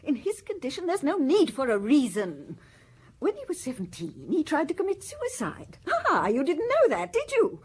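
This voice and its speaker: A woman playing a haughty, accusing upper-class wife in a radio drama, with a husky, imperious manner. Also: high voice, wildly swooping pitch, moderate pace, a little mellow. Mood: indignant, insistent. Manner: imperious, indignant.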